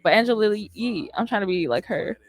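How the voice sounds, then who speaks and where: A woman's voice: mid-range voice, lively intonation, fairly quick. Young woman hosting a casual chat show. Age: young adult. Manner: informal, rambling, uses slang and "like". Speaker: radio show host. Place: indoor studio with curtains. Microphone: close studio microphone on boom arm, headset on.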